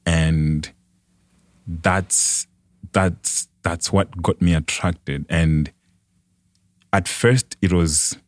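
A young man with a Malawian accent and an exceptionally deep voice. He tells his life story in a conversational way as a guest, in a dry recording with hardly any room sound. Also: fairly even intonation, extremely slow, pauses often, no room sound. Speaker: podcast guest.

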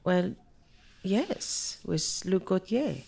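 A woman's voice: mid-range voice, big pitch swings, very slow, vocal fry, a little mellow, slightly rough, full character voice. A woman acting in an audio drama as an evasive, defensive young wife and mother. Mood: cool, reproachful.

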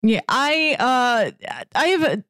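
A woman with a high, lively voice chats at an unhurried pace in a dry, close recording. She co-hosts a podcast and jokes along with playful mock-grand phrasing. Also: no echo. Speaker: pop culture podcast co-host. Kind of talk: casual, joking podcast chat.

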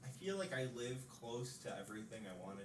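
A co-host in casual conversation, trading dry jokes and now and then slipping into silly voices. The voice sits in a roomy, echoey space with clear background noise. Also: medium pitch, very animated, fast talker. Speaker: podcast co-host. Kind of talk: casual chat.

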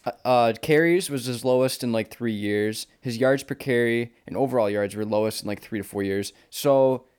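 A man's voice: medium pitch, normal speed, a little mellow, clear, resonant tone. An American man co-hosting a sports podcast, talking casually and informally like a confident fan. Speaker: podcast co-host.

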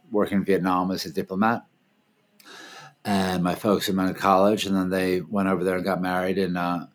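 Brief: deep-voiced fifties male filmmaker, unhurried and hesitant, on a video call. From Washington, D.C. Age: 50s. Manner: relaxed, hesitant, many fillers. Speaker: filmmaker and festival founder, guest. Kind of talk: casual interview over video call.

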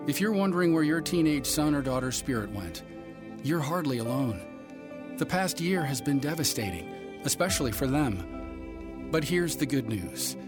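A man's voice: on the high side, expressive, normal speed. An American man reading a scripted public service announcement in an earnest manner. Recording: loud music under voice.

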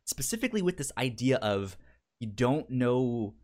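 A man co-hosting a podcast, chatting casually about a TV show, stammering and repeating sounds and words as he talks in an animated way. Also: mid-range voice, moderate pace. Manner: animated, acts out quotes. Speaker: podcast co-host.

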